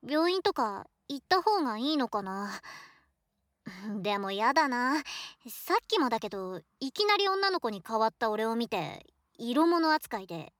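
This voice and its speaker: A shy, affectionate student, once a boy and now a girl, acted by a woman, speaks in a very high, soft voice. The delivery is emotive, with wide swings in pitch, as in an intimate situation voice drama addressed to a best friend. The sound is close and very dry. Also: dry recording. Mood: bewildered, troubled. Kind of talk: Japanese situation voice drama acting, intimate one-sided dialogue.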